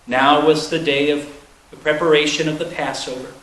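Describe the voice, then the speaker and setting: Higher-voiced man reading scripture, roomy echo. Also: little pitch movement, moderate pace, a bit bright. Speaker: scripture reader. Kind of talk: solemn scripted reading of a Bible narrative. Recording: roomy echo, faint background noise.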